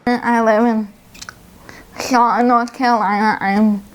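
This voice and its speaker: A woman's voice: a little high, level tone, unhurried, smooth. A woman of about twenty introducing herself in plain, short statements. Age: 20s. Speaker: college student. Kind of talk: self-introduction to camera.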